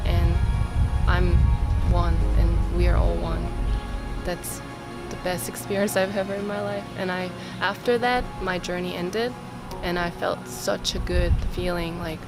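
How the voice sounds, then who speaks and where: A woman describing her experience in a dreamy, awed way, full of "like" fillers. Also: medium pitch. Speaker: ceremony participant. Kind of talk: personal testimony. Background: loud music.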